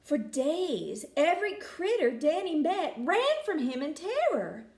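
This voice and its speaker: Animated high-voiced woman reading a storybook. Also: a little slow, reverberant. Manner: expressive, folksy storytelling inflection. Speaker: storyteller reading a picture book aloud.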